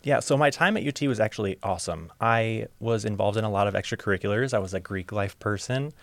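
A young man from Ohio speaking as a podcast guest, open and reflective, sometimes halting. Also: lowish voice, dry recording. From Ohio, USA. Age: young adult. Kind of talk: casual interview storytelling.

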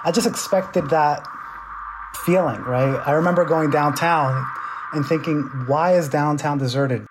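A middle-aged man from the Dominican Republic with a New York accent, telling his own story in an interview, halting and restarting as he searches for words. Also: fairly high pitch, background noise. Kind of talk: reflective personal storytelling.

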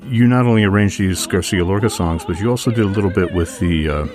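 A man's voice: deep voice, fast, music in the background. A man interviewing a musician, asking long questions with hesitations and fillers.

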